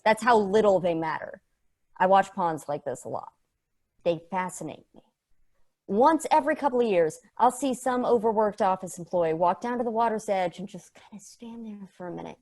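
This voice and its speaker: A woman's voice: mid-range voice, moderate pace, a little mellow, lightly acted. A woman playing a sardonic, passionate space probe in a table read, casual, rambling and sweary. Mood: wry, ranting. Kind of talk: conversational acting in a script table read.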